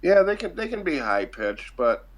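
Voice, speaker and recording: A man's voice: fairly high pitch, big pitch swings, moderate pace, lo-fi sound. Man chiming in with short remarks. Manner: short emphatic interjections. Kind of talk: casual voice-chat discussion. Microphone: computer mic in a voice chat.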